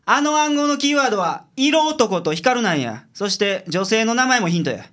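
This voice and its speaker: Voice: mid-range voice, sing-song, normal speed, a bit bright. A performer doing a smug Kansai private eye. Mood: explaining. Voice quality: gruff, smug. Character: sharp-tongued male Kansai private detective. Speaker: lead detective in a radio drama. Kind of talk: community radio drama acting.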